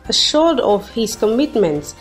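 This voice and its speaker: A woman with a Nigerian accent reading the news in a measured, formal way as the show's newsreader. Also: on the high side, big pitch swings, soft background music.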